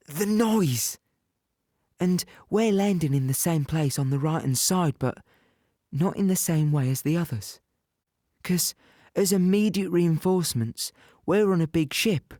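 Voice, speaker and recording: An actor playing a plainspoken, weary infantryman in an audio play, reminiscing in a halting, reflective, conversational way. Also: high-pitched, a little mellow, no echo, clean studio sound. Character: young British infantryman recounting war, plainspoken, weary, wistful. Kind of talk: audio play monologue, reminiscing in present tense.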